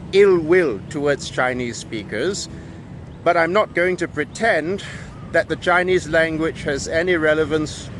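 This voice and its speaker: Malaysian peranakan guy ranting to camera, kinda high voice, wry, traffic noise outside. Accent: Malaysian Peranakan English. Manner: emphatic, wry, with frequent restarts. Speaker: video essayist. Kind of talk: monologue to camera.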